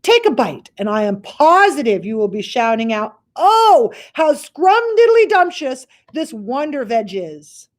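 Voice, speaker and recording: A woman's voice: high voice, very animated, unhurried, hardly any pauses, pitched above the performer's own voice. A woman voicing a kindly old male giant who coaxes earnestly in mangled grammar. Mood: coaxing.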